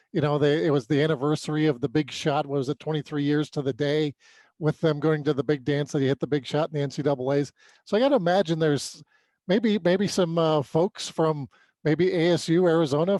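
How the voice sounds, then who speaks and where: A man's voice: fairly high pitch, a little mellow, no room sound. An American man co-hosting a sports podcast, asking questions in a conversational way.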